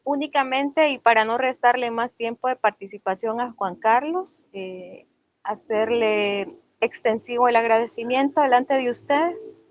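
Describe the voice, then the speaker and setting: A woman's voice: on the high side, unhurried, a little hiss or hum. A woman organizer who invited the guest, giving a gracious and earnest welcome and thanks. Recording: dull, lo-fi sound.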